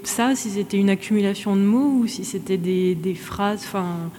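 A woman in the audience asking a question, slowly searching for her words. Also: medium pitch, warm tone. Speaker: audience member. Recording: long hall reverb, faint noise.

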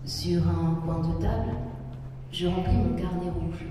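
A woman's voice: on the low side, unhurried, warm tone, slight background noise. A woman from northern France, whose first language is Berber, giving a reflective, poetic spoken-word performance. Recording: roomy hall recording from far off with music.

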